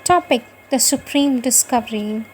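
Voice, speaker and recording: A woman reading devotional texts aloud in a measured, solemn and deliberate way. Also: on the high side, background noise. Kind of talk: slow devotional scripted reading.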